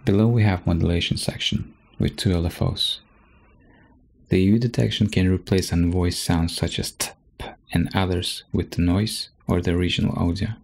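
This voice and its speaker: A man narrating a software tutorial from a script, as a presenter explaining step by step. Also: low voice, flat delivery, normal speed, gravelly, faint music behind.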